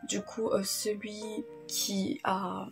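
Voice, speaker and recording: A woman's voice: mid-range voice, completely flat delivery, takes it slowly, creaky, soft background music. A young woman talking casually as a book vlogger, gushing and informal, with rambling asides. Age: young adult. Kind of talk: casual chatty reading-list vlog.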